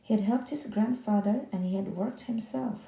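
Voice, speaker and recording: A woman's voice: mid-range voice, moderate pace, creaky, dark tone. A woman narrating a children's story as a read-aloud, recorded in a roomy indoor space with echo. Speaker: story reader.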